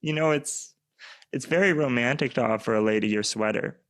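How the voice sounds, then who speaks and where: A man playing a tender but defiant young woman in an amateur radio play, speaking softly and pointedly. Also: medium pitch, a little fast, no room sound, lightly acted. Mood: gentle, teasing.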